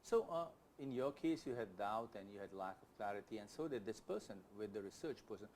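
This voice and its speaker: A man's voice: lowish voice, lively intonation, fairly quick, a little mellow, a little hiss or hum. A man hosting a conversational interview, probing with questions and acting out hypothetical thoughts as he asks them. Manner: probing, rephrases in imagined first-person quotes. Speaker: talk show host, interviewer.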